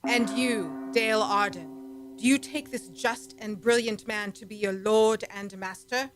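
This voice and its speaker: A woman playing a bold high priestess in an old-time radio serial, formal and ceremonial. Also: a little slow, over music, natural voice. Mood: solemn. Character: solemn, bold high priestess of an alien empire.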